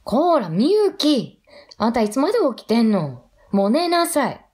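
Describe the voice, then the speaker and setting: A brisk mother with a fairly high, very animated voice. She has a Kansai accent and sounds like a busy wife in a radio drama. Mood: scolding.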